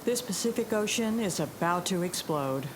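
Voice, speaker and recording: A woman's voice: lowish voice, expressive, moderate pace, background noise, close to an everyday voice. An actress playing a plucky, guarded young woman pilot in an audio drama. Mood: wry.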